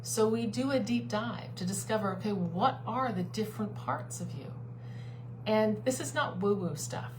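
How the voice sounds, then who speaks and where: Woman, life coach, mid-pitched, earnest and persuasive, live stream with a steady hum behind her. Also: normal speed. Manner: earnest, persuasive, repeats key phrases. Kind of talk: live-streamed talk to a online group.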